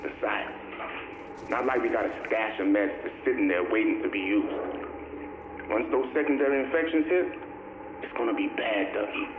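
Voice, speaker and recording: Game role: male actor as cynical city survivor, deepish world-weary voice, colloquial, narrow noisy audio-log sound. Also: moderate pace, pauses often, close to an everyday voice. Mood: grim warning. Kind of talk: dramatic monologue in a video game audio log. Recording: tinny, echoey, noisy recorded audio log.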